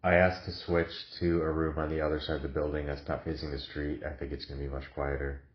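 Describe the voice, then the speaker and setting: A man's voice: extremely low pitch, flat delivery, fast talker, muffled recording. A man with a cough, recording a casual, reflective vlog in his new apartment, talking hesitantly with many fillers. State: has a cough. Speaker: vlogger.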